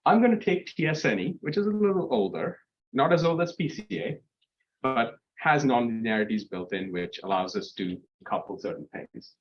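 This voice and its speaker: A man's voice: mid-range voice, animated, normal speed, lo-fi sound. A man giving a guest lecture at a university seminar, explaining in a loose style with restarts along the way. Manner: explanatory, with frequent fillers and restarts. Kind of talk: academic seminar talk. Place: lecture room.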